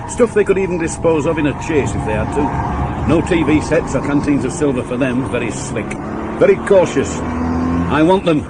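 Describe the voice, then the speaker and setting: An actor playing a shrewd, dogged middle-aged police inspector in a 1980s radio drama, terse, emphatic and authoritative. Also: male voice, on the high side, animated, moderate pace, lightly acted. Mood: determined. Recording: old radio broadcast, faint traffic noise.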